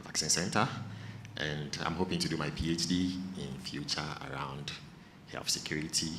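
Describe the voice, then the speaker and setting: A young man with a gravelly voice introducing himself steadily as a course participant. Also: rumbling low. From Ghana. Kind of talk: self-introduction. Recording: echoey room with background noise.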